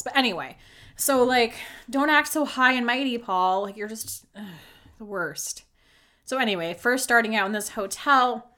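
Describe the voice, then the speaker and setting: A woman's voice: medium pitch, sharp tone. Sarcastic chatty young woman. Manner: chatty, sarcastic, lots of filler likes and swearing. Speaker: podcast host recapping a reality show. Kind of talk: casual solo commentary.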